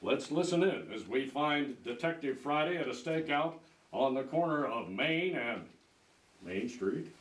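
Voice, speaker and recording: A man's voice: medium pitch, lively intonation, measured pace. A man announcing in scripted old-time radio style as he introduces a stage show. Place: stage performance in a roomy hall. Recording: echoey hall, distant mic, faint noise.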